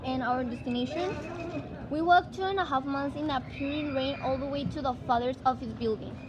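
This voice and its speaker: Woman acting excitable high-voiced young girl Anne. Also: brisk, background noise, natural voice. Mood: reflective narrating. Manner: eager, exclaiming. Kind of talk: amateur stage dramatic reading.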